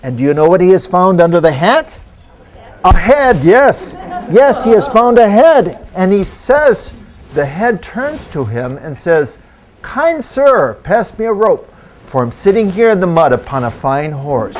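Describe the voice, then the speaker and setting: Man acting as 1800s immigrant doctor, slightly high voice, living-history storytelling, genial, old-fashioned, deliberate. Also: very animated. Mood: theatrical tale-telling. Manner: formal old-fashioned phrasing, deliberate, theatrical. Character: genial 19th-century German immigrant country doctor, travelling storyteller. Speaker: historical reenactor performing for an audience. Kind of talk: first-person living-history storytelling in character.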